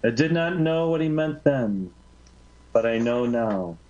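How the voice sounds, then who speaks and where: A man reading aloud as Mary Magdalene, a grave woman recalling how she was transformed, in a measured, reverent voice. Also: mid-range voice, expressive, darkish tone, lightly acted. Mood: solemn. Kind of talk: slow solemn reading of a literary monologue. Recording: slightly dull lo-fi recording.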